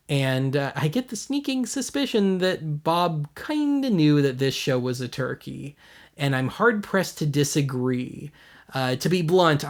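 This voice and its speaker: A man's voice: medium pitch, vocal fry. An American man hosting a video series, giving casual commentary in a wry, blunt, self-deprecating way. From United States.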